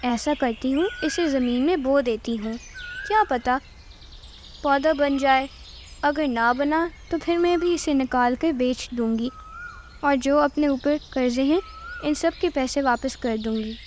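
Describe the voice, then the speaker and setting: Lady doing a little cartoon bird farmer, high voice, hopeful, thinking out loud, faint noise behind. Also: unhurried. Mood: wondering, hopeful. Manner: musing aloud. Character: hopeful, modest little female songbird farmer. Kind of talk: Hindi cartoon voice acting.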